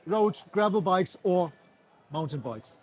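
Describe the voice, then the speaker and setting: A man from a bike shop, calmly explaining custom builds at a busy indoor trade fair, with a few fillers. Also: high voice, slow. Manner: calm, informative, a few fillers. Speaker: bike shop staff, guest. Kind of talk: explaining products at an expo.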